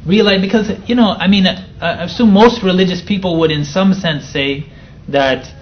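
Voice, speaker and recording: A man in his thirties from the Chicago area, a guest telling his story in a soft-spoken, thoughtful way. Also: high voice, moderate pace. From United States (near Chicago). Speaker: interview guest. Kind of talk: relaxed storytelling interview. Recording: slightly dull sound with faint noise.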